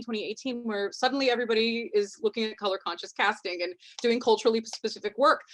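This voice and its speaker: A woman with a slight Mexican American accent, a panelist on a video call, talking candidly and haltingly, with many restarts. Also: on the high side. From Mexican heritage, US. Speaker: actress, panelist. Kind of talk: candid roundtable discussion.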